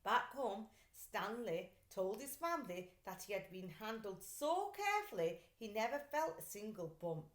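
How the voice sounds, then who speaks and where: A woman reading a children's story aloud like a teacher, with deliberate, clear phrasing and expression. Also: medium pitch, very animated. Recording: echoey room.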